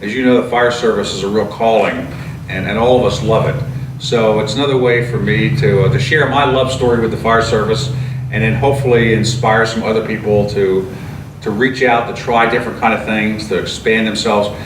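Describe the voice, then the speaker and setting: Older man in his sixties, earnest and steady, frequent "uh"s, recorded off-mic in an echoey room with noise behind. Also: fairly low pitch, fairly quick, recorded from a distance. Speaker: retired fire chief and author. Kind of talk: interview answers.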